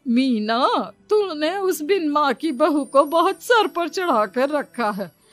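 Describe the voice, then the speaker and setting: Woman doing nosy middle-aged neighbour auntie in a story cartoon, high animated voice, judgy and preachy. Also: slow, soft background music. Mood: scornful warning. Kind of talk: dramatized Hindi moral story for animation.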